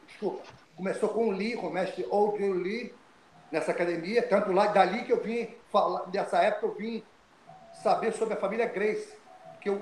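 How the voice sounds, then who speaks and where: An older man from Brazil, telling stories of his start in fighting as an interview guest, animated and often restarting his words. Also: sits high, roomy sound, slight background noise, rough recording. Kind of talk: storytelling interview.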